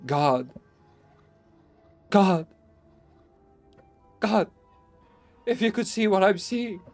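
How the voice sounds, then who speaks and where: A man voicing a terrified man who shouts shakily and frantically up from a tomb. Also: high voice, animated, very slow, soft background music, voice pushed up, heavily acted. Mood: frantic, terrified.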